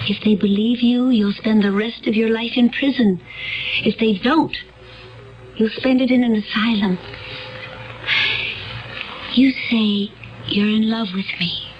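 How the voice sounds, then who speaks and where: An actress playing a serene, solemn woman from another world in a radio drama, speaking in a measured, earnest and foreboding way. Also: a little high, natural voice. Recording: dull, lo-fi radio recording with faint noise.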